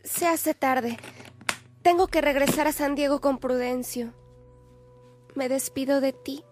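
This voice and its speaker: An affectionate sister in a radio drama. Her voice is high and slow, with a tender, solemn delivery over faint music. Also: steady tone, darkish tone. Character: devoted, affectionate sister of a young seminarian. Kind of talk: Spanish-language radio drama acting.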